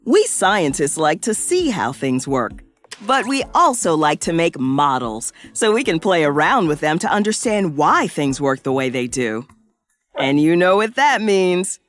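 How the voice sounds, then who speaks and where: A woman playing a cheerful, excitable science professor who explains things in an exaggerated, sing-song way for young children in an educational cartoon. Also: low voice, measured pace. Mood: upbeat, explaining. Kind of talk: scripted educational cartoon narration.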